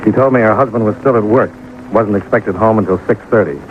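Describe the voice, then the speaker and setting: Male radio-detective lead, acted: lowish voice, terse and businesslike, on a noisy, narrow-band 1950s broadcast recording. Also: fairly quick, close to an everyday voice. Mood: dry narration. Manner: clipped, matter-of-fact questioning. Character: businesslike male insurance investigator, terse and persistent. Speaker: lead actor and narrator. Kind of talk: 1950s radio detective drama acting, first-person narration.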